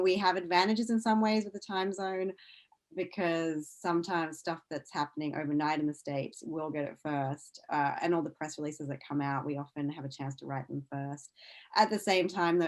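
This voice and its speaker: A low-voiced woman with an Australian accent talks casually as an interview guest. She is chatty and informal, breaking into her own sentences and starting again as she explains things. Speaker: interview guest, science news CEO. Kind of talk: casual conversational interview answers.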